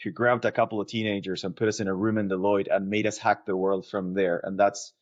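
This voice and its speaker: Low-voiced man, slightly muffled audio. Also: level tone, fairly quick. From Argentina. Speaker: company founder being interviewed. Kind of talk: conversational interview answers.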